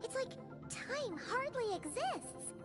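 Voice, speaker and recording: A woman's voice: very high voice, sing-song, slow, over music, heavily acted. A woman voicing a tiny, chatty fairy companion who talks about herself in the third person. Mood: musing, chatty.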